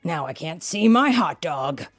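A woman's voice: low-pitched, sing-song, unhurried, soft background music, exaggerated voice. A woman reading aloud and voicing a grumpy veteran male police sergeant who grumbles curtly. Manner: grumbling, curt.

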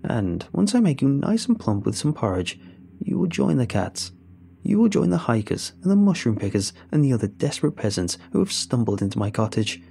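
A man's voice: mid-range voice, sing-song, fairly quick, vocal fry, dark tone, husky, heavily acted. A man voicing a cruel old witch who hoards cats and sneers at her captive. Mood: gloating menace. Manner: hissing, contemptuous, calling people peasant. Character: cruel ancient witch, cat-hoarding hag.

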